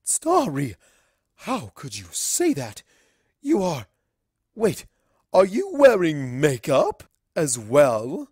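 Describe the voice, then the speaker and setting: Imperious dark mare princess, grandly theatrical. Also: female voice, sits low, wildly swooping pitch, slightly rough, no echo, clean studio sound, full character voice. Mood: indignant. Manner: pompous, slow, grandiose. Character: imperious evil princess mare, stern scolding mother. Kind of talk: fan fiction audio reading.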